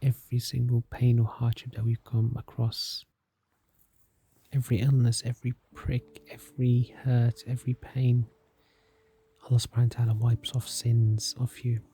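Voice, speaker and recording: A man leads a guided meditation in a soft, soothing, scripted narration, calm and gentle, leaving long unhurried pauses. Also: mid-range voice, husky. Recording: music behind the voice. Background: soft music.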